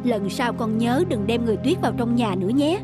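Woman acting gentle mother, comforting, higher voice, children's cartoon, loud music. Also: lively intonation, brisk, natural voice.

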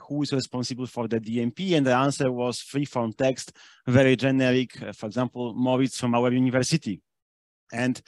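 Male conference presenter, medium pitch, explanatory technical delivery, dry recording with little room sound. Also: no echo. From Austria (based there).

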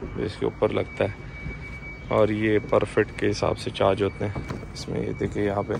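A young man with a low, gravelly voice talks through a casual vlog in an easygoing, explaining way. He is on an outdoor city rooftop and films with a phone. Traffic and street noise are clearly heard behind him. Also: moderate pace. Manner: relaxed, explains while asking questions. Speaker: farm channel host.

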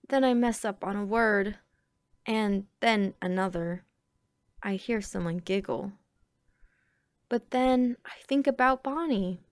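A woman reading a children's picture book aloud with expressive, deliberate phrasing. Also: medium pitch, dry recording, studio quality. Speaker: storybook reader.

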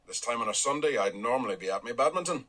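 A blunt middle-aged Ulster dairy farmer. His Northern Irish voice is bright and a little husky, and it rises and falls a lot as he makes wry, folksy jabs in this radio play. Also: mid-range voice, animated, fast. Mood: wry, stubborn. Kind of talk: radio drama acting.

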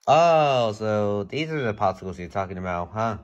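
A man's voice: lowish voice, lively intonation, moderate pace, highs cut off, natural voice. A man voicing an easygoing, friendly toy race car in amateur toy play. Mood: casual, friendly.

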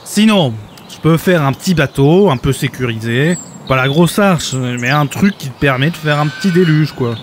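A man's voice: fairly high pitch, expressive, normal speed, few pauses, slight background noise, close to an everyday voice. An actor playing an exasperated, sarcastic everyman who grumbles in colloquial speech in a comedic audio drama. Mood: haggling, sceptical.

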